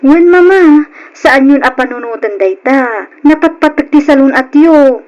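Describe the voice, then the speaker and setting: An actress playing an earnest, reassuring grown daughter in an Ilocano radio drama, speaking with a Filipino accent. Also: female voice, high-pitched, animated, unhurried, a little mellow, dull audio.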